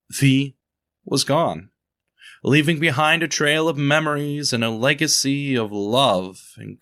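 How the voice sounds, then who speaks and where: A man narrating a radio drama in a measured, somber storytelling voice. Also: on the low side, sharp tone, clean tone. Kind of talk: scripted narration.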